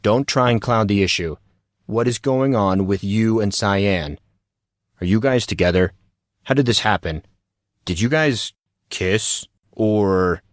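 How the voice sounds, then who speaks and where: A man's voice: on the low side, unhurried, dry recording, clean studio sound, lightly acted. A man playing an earnest, indignant teenage boy in an audio drama who tries to sound dignified while interrogating. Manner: pompous, interrogating. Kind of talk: audio drama character dialogue.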